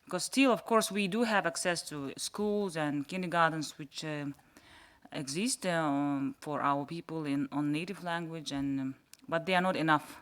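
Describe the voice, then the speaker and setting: A man from Yakutia whose first language is Sakha, speaking haltingly as a panelist in a panel discussion and searching for words. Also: fairly high pitch, a bit bright, smooth. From Yakutia, Russia.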